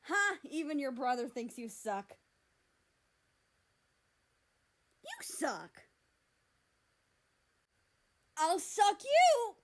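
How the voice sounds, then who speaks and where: A small, impulsive guy with a short fuse and a lovesick heart , acted out by a woman reader. The pitch is lifted and the delivery is dramatic storybook character work. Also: wildly swooping pitch, takes it slowly, full character voice. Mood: mocking, explosive. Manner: dramatic, swings between outbursts and pleading. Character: short, hot-tempered, impulsive, lovesick male country character.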